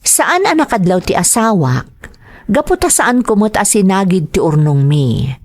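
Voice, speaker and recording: A woman's voice: mid-range voice, very animated, normal speed, dry recording. A woman narrating a dramatic first-person story for an audio drama.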